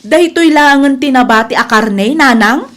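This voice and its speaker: High-voiced Ilocano younger sister-in-law woman acting. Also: animated, a little slow. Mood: artless. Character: younger sister-in-law, homely mother of children, artless. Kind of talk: Ilocano radio comedy drama acting.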